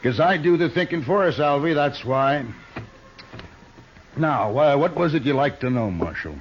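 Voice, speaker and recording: An actor playing a folksy, menacing outlaw gang leader in an old radio western, with a drawling, mockingly genial way of talking. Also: male voice, a little high, lively intonation, moderate pace, natural voice. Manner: drawling rustic speech, mockingly genial, bullying. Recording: muffled, noisy old radio recording.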